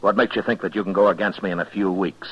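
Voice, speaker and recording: An actor playing a stern, weary middle-aged American marshal with a husky voice and blunt, deliberate delivery in a 1950s radio western. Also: male voice, steady tone. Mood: exasperated. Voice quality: husky, deep. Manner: blunt, deliberate, hard-edged. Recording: dull vintage radio recording.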